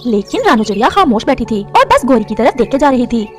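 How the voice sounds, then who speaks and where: A woman's voice: a little high, sing-song, fast, soft background music. A woman giving scripted, dramatic narration of a cartoon story.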